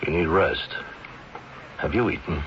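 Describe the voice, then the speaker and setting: An actor playing a grave, insistent middle-aged family doctor, weary and devoted, with a gravelly voice, in 1970s radio drama style. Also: male voice, low voice, measured pace. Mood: firm, insistent. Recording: dull old radio recording, faint noise.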